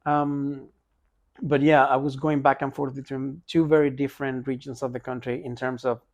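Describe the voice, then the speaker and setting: A man's voice: mid-range voice, little pitch movement, normal speed, dry recording. A man from Venezuela, a native Spanish speaker, chatting casually as a podcast guest, rambling with many restarts and fillers. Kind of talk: casual interview talk.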